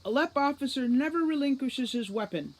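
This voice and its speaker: A woman voicing a timid, officious little male fairy soldier in a storybook, halting and self-important. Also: sits high, lively intonation, pitched above the performer's own voice. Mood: defiant, puffed up.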